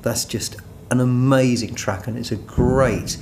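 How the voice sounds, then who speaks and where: A man's voice: mid-range voice, steady tone, a little slow, creaky, darkish tone, slight background noise. A man in a documentary interview, enthusiastically explaining how things worked.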